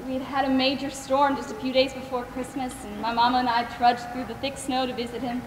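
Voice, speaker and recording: A woman's voice: sits high, flat delivery, quick pace, close to an everyday voice. A woman acting a kind, devout Southern caregiver in a school stage play, telling stories in a folksy, tender way. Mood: warm, reflective. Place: large theater stage, recorded by far camera. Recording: echoey theater stage from far off, noisy.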